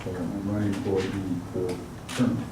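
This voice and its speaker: A middle-aged man, a school board candidate, giving a halting forum statement with many fillers. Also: low-pitched, level tone. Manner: hesitant, many fillers and restarts. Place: outdoor park event. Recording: echoey, far from mic, noisy.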